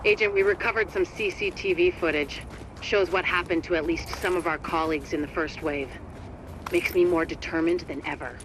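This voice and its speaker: An actor playing a serious, determined mission commander briefing an agent in a video game. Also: male voice, on the high side, fairly even intonation, fairly quick, background noise, close to an everyday voice. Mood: earnest, resolved. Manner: serious, urgent.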